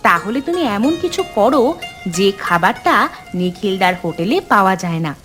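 A woman plays an eatery keeper's wife from a cartoon tale. She is practical and supportive, coaxing as she talks. Music is clearly heard behind her. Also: mid-range voice, big pitch swings, normal speed, a bit bright. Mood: encouraging. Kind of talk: Bengali cartoon story voice acting.

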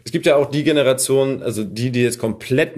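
A man in his thirties chats as a podcast host. His voice is medium in pitch, and his delivery is casual and conversational, as in an informal interview. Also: brisk, a little mellow.

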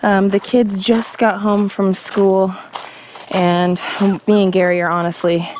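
A woman's voice: medium pitch, flat delivery, slow pace, a little mellow, a little hiss or hum, low-quality recording. A tired-sounding woman in her thirties chatting casually to a camera held at arm's length as she vlogs about homestead life. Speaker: homestead vlogger. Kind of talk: casual vlog chat to camera. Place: inside a canvas storage tent and outdoors in a wooded yard. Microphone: handheld camera at arm's length.

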